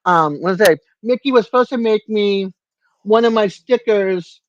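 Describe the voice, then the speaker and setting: An older woman hosting a casual livestream chat from home, rambling and halting with lots of fillers and restarts. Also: medium pitch, very noisy. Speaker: livestream host. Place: home room with a fan. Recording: dull lo-fi stream audio.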